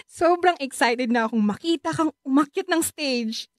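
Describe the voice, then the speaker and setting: High-voiced, proud, affectionate aunt played by an actress. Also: female voice, very animated, unhurried, no room sound, clean studio sound. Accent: Filipino. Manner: effusive, encouraging. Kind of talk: Filipino radio drama acting.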